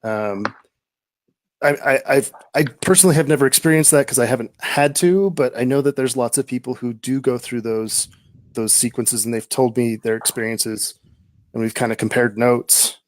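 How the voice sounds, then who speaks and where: A male guest author of average pitch answers questions slowly and thoughtfully. He hesitates often and repeats words as he works out each thought. The recording is dry and close. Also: dry recording. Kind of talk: casual interview answers.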